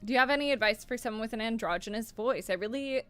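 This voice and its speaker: Bright, chatty young woman streamer. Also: rapid-fire, clear, resonant tone, soft background music. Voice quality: mid to low voice. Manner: animated and chatty, swears freely. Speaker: streamer and voice actor. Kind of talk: casual stream chat answering viewer questions.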